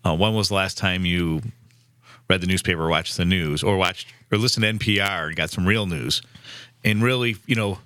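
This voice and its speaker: A man's voice: deep voice, normal speed, pushed and bright, no echo, clean studio sound. A man in his fifties hosting a casual, earnest conversation, with restarts along the way. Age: 50s. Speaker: host.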